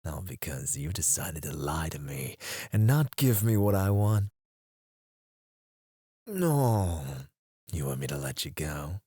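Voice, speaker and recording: A man playing a menacing, teasing kidnapper in an ASMR roleplay, drawling his taunts and dropping into hushed murmurs close to the microphone. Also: a bass voice, very animated, takes it slowly, long pauses, breathy edge, dry recording, full character voice. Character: menacing, teasing male kidnapper interrogating a captive. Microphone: close studio microphone.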